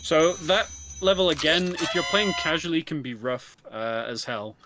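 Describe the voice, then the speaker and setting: A man giving casual live co-commentary on a speedrun, explaining in halting phrases and repeating words. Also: a little high, lively intonation. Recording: game music behind the voice. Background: video game music.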